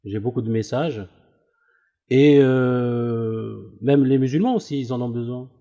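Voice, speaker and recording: A man who hosts an online debate room, talking casually on a call and explaining things in a hesitant, halting way. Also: mid-range voice, warm tone. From Italy. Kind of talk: casual phone conversation. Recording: dull lo-fi recorded call.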